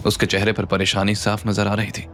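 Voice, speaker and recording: A man's voice: lowish voice, monotone, brisk, fairly bright tone, soft background music. A man narrating a scripted audio drama serial in a dramatic storytelling style.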